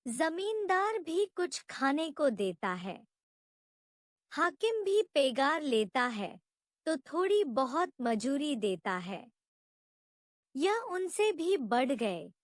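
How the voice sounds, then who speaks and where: A blunt, indignant village man from a story , read by a woman, plain-spoken and a little mocking. The voice is high, very slow and dry. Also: no room sound, exaggerated voice.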